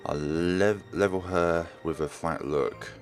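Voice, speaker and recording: A man's voice: low voice, takes it slowly, soft background music. A man doing a let's play, reading a visual novel aloud and stumbling over words before correcting himself. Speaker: let's player.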